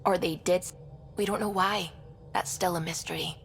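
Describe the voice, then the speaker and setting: Curious young space crew gal for a game, lowish voice, chatty and earnest, music behind. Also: female voice, steady tone, moderate pace, gravelly. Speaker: video game crew member. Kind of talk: video game dialogue.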